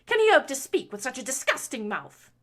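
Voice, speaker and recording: A furious, scornful noble matron, as a woman puts her on for an audiobook. Her voice climbs high and hits hard, every line a sharp, loud rebuke. She sounds cold and domineering. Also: very animated, a little fast, heavily acted. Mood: scolding. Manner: shrill scolding tirade. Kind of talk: audiobook reading of fan fiction.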